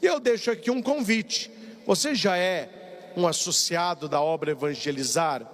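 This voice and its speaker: High-voiced priest in a reverberant sanctuary. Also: male voice, normal speed. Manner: animated storytelling, acts out dialogue. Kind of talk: live-broadcast sermon with announcements. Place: large church sanctuary. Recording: long church reverb.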